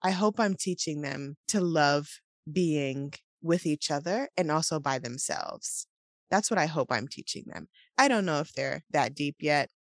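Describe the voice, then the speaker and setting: Sleepy-voiced low woman chatting. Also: measured pace, no room sound, studio quality. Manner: relaxed, laughs often, meandering. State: sleepy morning voice. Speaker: podcast co-host. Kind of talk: casual morning chat.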